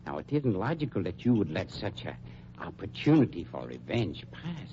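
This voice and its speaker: A smooth, sinister undertaker speaks with prim, wounded courtesy and sweeping intonation. His tone is mellow and his formality menacing, on a muffled, noisy old radio drama recording. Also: low-pitched, sing-song, exaggerated voice. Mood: silky prodding. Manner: over-polite, prim, mock-hurt, drawn-out formal phrasing. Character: unctuous, sinister male funeral director and underworld schemer.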